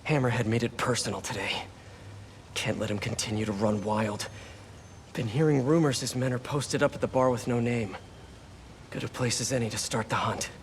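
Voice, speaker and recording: A man's voice: medium pitch, normal speed, a little hiss or hum, lightly acted. A young man playing an earnest, wisecracking superhero in a video game, talking in a conversational, musing way. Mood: focused, quippy. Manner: quippy, musing aloud to himself.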